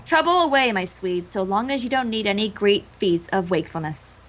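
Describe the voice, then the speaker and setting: A woman's voice: medium pitch, animated, a little fast, a bit bright, lightly acted. A woman voicing a regal pony princess in a fan reading, gracious and playfully teasing, with a theatrical, courtly delivery.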